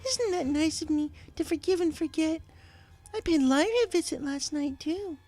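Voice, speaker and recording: A man's voice: very high voice, normal speed, darkish tone, pitched above the performer's own voice. A man voicing a female killer pony who is menacing and frighteningly cheery, taunting in a mock-sweet, sing-song way, in a read-aloud story.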